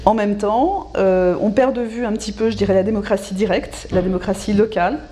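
A middle-aged woman explains things as an expert in an interview, in measured phrases with hesitant pauses. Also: mid-range voice, steady tone, mellow, a little hiss or hum. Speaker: local government specialist being interviewed. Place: small indoor office.

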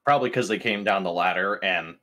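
A man's voice: deep voice, fairly quick, pushed and bright. A man talking casually as a player in a tabletop game. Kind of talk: casual chat during a game.

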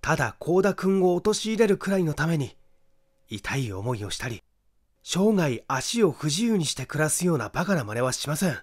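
A man's voice: a little high, very animated, moderate pace, voice pushed down. A man voicing a confident, wounded young man in an audiobook, firmly protesting his innocence. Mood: firm denial. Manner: firm, protesting. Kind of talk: dramatic audiobook character acting.

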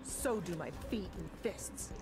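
A woman's voice: on the low side, measured pace, lightly acted. A woman playing a brash, combative military officer in a fighting game. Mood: defiant. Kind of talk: fighting game character dialogue. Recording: game music behind.

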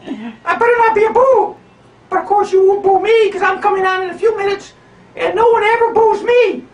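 A very high, squeaky monkey boasts and bosses the crowd. The voice sounds roomy and distant, caught on a home camera at the back of the room. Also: male voice, brisk. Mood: boastful. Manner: bossy, boastful, sings silly songs. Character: cocky boastful male monkey puppet. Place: living room home puppet show. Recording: roomy home video from afar.

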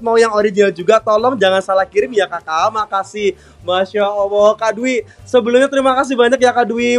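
A man hosting a live-selling stream, chatting upbeat and reading viewer comments aloud in a bakery kitchen. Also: very high-pitched. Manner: chatty and upbeat, constantly addressing viewers warmly. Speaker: live-stream sales host.

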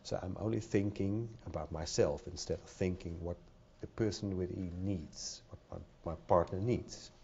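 A man's voice: deep voice, normal speed, vocal fry, hardly any pauses, mellow, a little hiss or hum. A middle-aged man with a Dutch accent giving a conference talk at a podium, hesitant, with many fillers and repeated words. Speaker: conference speaker. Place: conference hall with audience. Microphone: podium microphone. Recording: dull lo-fi sound.

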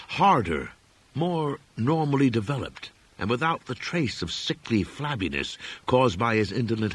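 A man narrating a horror audiobook dramatically, with grave, measured, literary phrasing. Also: fairly low pitch, a bit bright, slightly rough.

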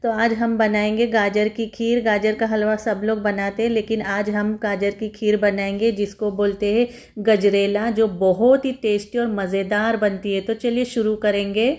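A woman's voice: mid-range voice, little pitch movement, moderate pace, darkish tone. A woman home cook giving a step-by-step recipe voice-over, instructing viewers in a friendly, familiar form of address.

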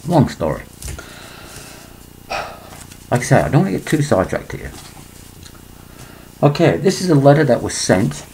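Gravelly older man rambling to a webcam. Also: mid-range voice, big pitch swings, normal speed, long pauses, a little mellow, a little hiss or hum, low-quality recording. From England. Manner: rambling, digressive, frequent throat clearing. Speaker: vlogger. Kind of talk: rambling conspiracy monologue to webcam. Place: small indoor room. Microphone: laptop webcam.

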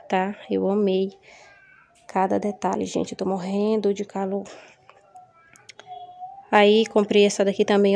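A Brazilian woman vlogging casually from her home kitchen, a little hoarse from a cold, chatty and often checking in with her viewers. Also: medium pitch, warm tone. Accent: Brazilian Portuguese. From Maranhão, Brazil. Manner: chatty, frequent tag questions to viewers. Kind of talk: casual haul vlog. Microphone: phone camera held over table.

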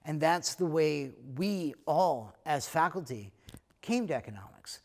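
A middle-aged American man, head of an economics school, talking casually to prospective students in a relaxed way, with many fillers. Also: a little high, unhurried. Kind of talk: recorded talk to prospective students. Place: lecture room, then plain backdrop indoors.

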